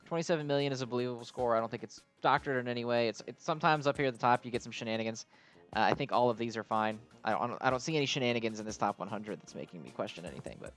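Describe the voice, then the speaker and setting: A man giving casual, unscripted game commentary as a video host, explaining things in a hesitant, rambling way. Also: medium pitch.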